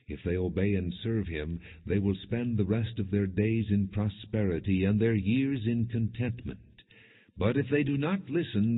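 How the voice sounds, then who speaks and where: A man narrating scripture in a solemn, measured, declamatory way, as in an audio Bible. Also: fairly low pitch, breathy edge. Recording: phone-like narrow band, long hall reverb.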